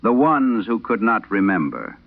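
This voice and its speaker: A man playing a weary, haunted soldier husband back from the dead, speaking in a halting, sorrowful way in a horror audio drama. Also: lowish voice, big pitch swings, gravelly, no room sound, voice pushed up, heavily acted. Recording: dull vintage-radio band-limited sound.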